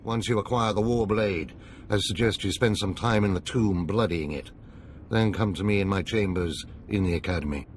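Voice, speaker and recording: A stern older overseer of a dark order , played by a man for a video game. The voice sits fairly low, formal and commanding, with scheming authority in measured phrases. A noisy ambience runs behind it. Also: natural voice. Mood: stern, instructing.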